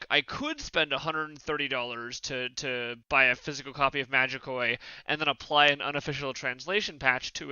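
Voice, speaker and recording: A man's voice: medium pitch, bright, forceful tone, clear, resonant tone, no room sound, muffled recording. A man on a podcast panel talking casually in a discursive, hedging way, close to the mic.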